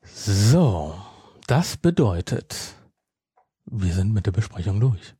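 A middle-aged man co-hosts a casual review podcast. His voice is dark and relaxed with a husky grain, recorded close and dry. He states opinions firmly and repeats himself for emphasis. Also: on the low side, slow pace, no echo. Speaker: podcast co-host.

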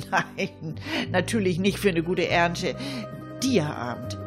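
A woman's voice: on the low side, animated, slow, over music. A woman playing a chatty East Frisian character in a comic radio sketch, talking straight to the listener. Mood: chatty, wry. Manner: chatty, addressing listeners directly. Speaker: radio show performer.

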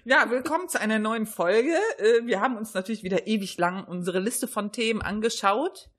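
A woman chats casually as a podcast co-host. Her medium-pitched voice has a husky, slightly rough edge. She speaks informally and pokes fun at her own rambling. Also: brisk. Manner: giggly, informal, self-deprecating. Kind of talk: casual chat.

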